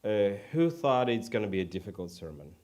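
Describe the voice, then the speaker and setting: Ukrainian guy giving an informal sermon, accented, kinda low voice, halting and modest about his speaking. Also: very animated, a little mellow. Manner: halting, self-deprecating. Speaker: guest preacher.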